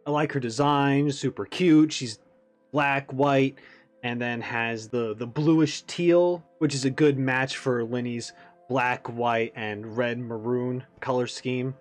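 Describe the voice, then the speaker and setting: A man's voice: medium pitch, fairly even intonation, slow, faint music behind. A man hosting a reaction video, speaking casually in rambling, enthusiastic analysis.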